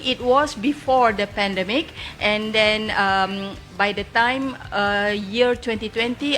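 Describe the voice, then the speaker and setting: A woman with a Malaysian accent answering as an interview guest, telling travel stories step by step in halting, unscripted English. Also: on the high side, fairly bright tone.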